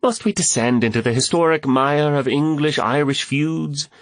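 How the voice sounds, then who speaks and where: A man's voice: on the low side, animated, normal speed, no room sound, studio quality, pitched above the performer's own voice. A male narrator voicing a witty woman in an audiobook, arch and quipping as she playfully spars. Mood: mock protest. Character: witty woman, playfully sparring, teasing dinner guest.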